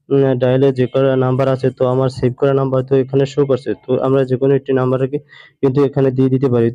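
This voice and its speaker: Fast monotone man, muffled audio. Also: mid-range voice, no room sound. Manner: steady monotone with many fillers and restarts. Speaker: tech tutorial host. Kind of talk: phone screen tutorial. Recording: muffled lo-fi voice-over.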